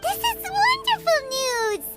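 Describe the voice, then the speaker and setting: A tiny cheerful fairy sidekick from a video game. The voice is extremely high and squeaky, with huge swoops in pitch and bubbly exclamations. Clear music plays behind it. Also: female voice, big pitch swings, slow, heavily acted. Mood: excited. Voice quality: squeaky, childlike. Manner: bubbly, exclamatory, refers to self in third person. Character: tiny excitable childlike fairy companion, cheerful and chatty.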